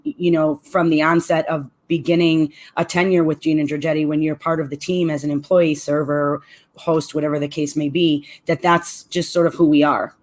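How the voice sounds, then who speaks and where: A middle-aged woman, a restaurant owner and event planner, answering radio interview questions in an articulate, measured way. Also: sits low.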